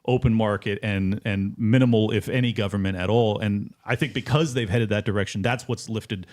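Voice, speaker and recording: A man with a low voice talks through political ideas. He speaks thoughtfully and pauses to search for words. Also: fairly even intonation, darkish tone. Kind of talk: political discussion.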